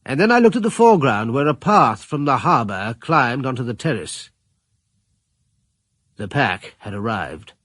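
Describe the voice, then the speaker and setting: An actor playing a grave, commanding middle-aged British gentleman adventurer, narrating a radio drama in measured, literary fashion. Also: medium pitch, sing-song, no room sound. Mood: grave, tense.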